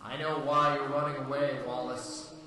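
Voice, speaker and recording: Cavernous, echoing ghostly avenger. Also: male voice, mid-range voice, little pitch movement, bright, forceful tone, soft background music. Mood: calm, commanding. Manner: measured, deliberate, telepathic address. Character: ghostly male avenger, calm, commanding, mysterious. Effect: heavy reverb for telepathic voice. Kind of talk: old-time radio drama acting. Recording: cavernous reverb, distant-sounding.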